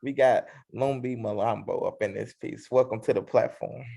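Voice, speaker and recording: A man hosting an interview, opening with a boastful, hyped self-introduction before asking relaxed questions. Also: fairly low pitch, normal speed, creaky. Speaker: host.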